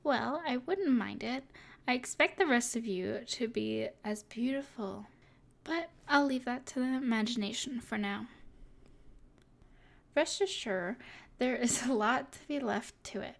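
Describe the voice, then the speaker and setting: Woman acting as smug evil sorceress, higher-pitched, very creaky, teasing, haughty, intimate ASMR roleplay. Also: measured pace.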